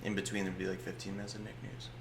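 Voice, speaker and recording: A man's voice: sits low, monotone, rapid-fire, roomy sound, a little hiss or hum. A man co-hosting a podcast, chatting casually and profanely with a lot of "like" fillers. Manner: loose, profane, lots of "like" fillers. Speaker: podcast co-host. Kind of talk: casual banter among comedians.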